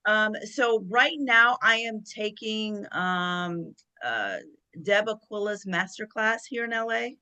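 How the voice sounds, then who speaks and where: A woman's voice: mid-range voice, lively intonation, slow, bright, forceful tone, smooth. A woman, a guest actress on a video call, chatting casually and stressing her points with repeated phrases. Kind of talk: casual chat.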